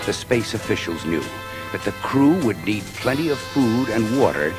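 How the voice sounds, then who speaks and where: Deep, solemn storytelling insect elder. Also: male voice, sing-song. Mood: solemn, grand. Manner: grave, deliberate, theatrical. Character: solemn elder insect warrior telling sacred ancestral history. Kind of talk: dramatic scripted storytelling on a children's story record. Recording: studio story record with music underneath.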